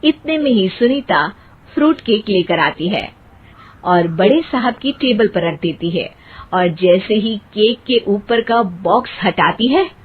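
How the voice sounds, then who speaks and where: A woman narrating a moral cartoon story in a scripted storytelling style, with a slightly husky voice. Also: mid-range voice, lively intonation, unhurried. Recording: tinny phone-like sound.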